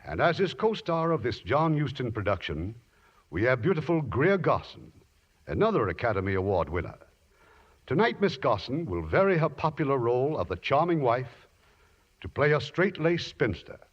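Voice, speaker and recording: Male 1950s radio host, scripted presentation, very animated intonation. Muffled broadcast sound. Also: medium pitch, normal speed. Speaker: radio theater producer and host.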